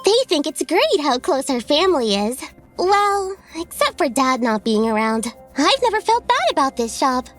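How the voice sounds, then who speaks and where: Very high, earnest little schoolgirl. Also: sing-song, normal speed, soft background music. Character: earnest, cheerful schoolgirl daughter, sweet and loyal. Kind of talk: dubbed manga drama acting.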